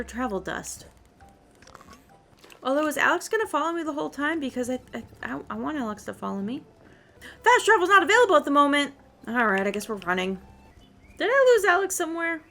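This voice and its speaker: A woman's voice: high voice, sharp tone. A woman giving casual gameplay commentary as she plays. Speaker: gamer reading quest dialogue and commenting.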